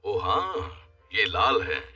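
A man's voice: on the low side, big pitch swings, takes it slowly, sharp tone, distant mic, faint music behind, full character voice. A man playing a jolly, easygoing pig father in a children's cartoon, hearty and playfully indulgent.

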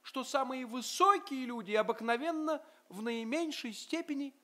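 Tiny, piercingly high-voiced proud little traveller. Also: male voice, moderate pace, pushed and bright. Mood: earnest, courtly. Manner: formal, earnest, emphatic. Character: tiny, proud, indignant human traveller among giants. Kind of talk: theatrical radio play acting.

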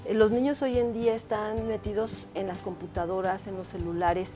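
A woman's voice: mid-range voice, little pitch movement, moderate pace, dark tone, soft background music. A woman hosting a TV studio interview on a lapel mic, chatty and direct with her questions. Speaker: talk show host. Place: TV studio set.

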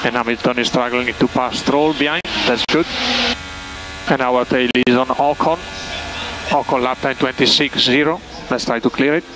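A man calls gaps and lap times to his driver in short clipped bursts, often pausing on fillers. His voice sits at a middling pitch. It comes through a team radio buried in loud engine noise. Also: steady tone. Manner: terse gap and lap-time calls, frequent fillers. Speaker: race engineer.

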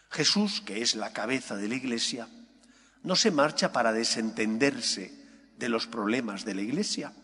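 A middle-aged man with a Spain accent preaches in a large, echoing church. His pitch rises and falls in lively swings as he explains point by point with firm emphasis. The voice is somewhat husky, and he sets off phrases with deliberate pauses. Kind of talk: sermon, homily. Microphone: gooseneck microphone at lectern.

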